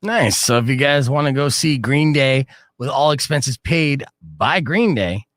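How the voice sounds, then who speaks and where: Male radio DJ, pitch swings wide, dry studio sound, animated host type. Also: measured pace, no echo. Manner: animated storyteller, jumps loud and high when excited. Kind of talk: casual radio show banter. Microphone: studio microphone.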